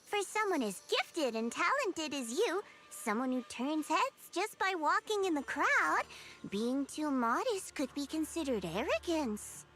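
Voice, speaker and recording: A woman's voice: high-pitched, big pitch swings, unhurried, a bit bright, soft background music, heavily acted. A woman voicing a teasing, self-assured young female agent in a video game, with a playful, smug, coaxing delivery.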